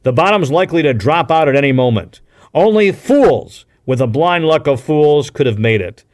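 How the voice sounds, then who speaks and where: A man's voice: a little high, big pitch swings, moderate pace, darkish tone, pitched above the performer's own voice. Man voicing a blunt, emphatic frontier outdoorsman. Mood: scornful. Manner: emphatic, plain-spoken. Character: blunt, principled frontier gold rush outdoorsman, protective of dogs.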